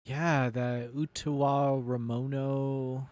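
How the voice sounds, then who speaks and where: A man's voice: medium pitch, flat delivery, slow, a little mellow, dry recording. A man chatting casually as he streams a game, rambling as he thinks aloud, with loose, sweary, nerdy commentary. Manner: self-described nerdy enthusiast. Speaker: game streamer hosting a let's play. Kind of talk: casual live gaming commentary and chat.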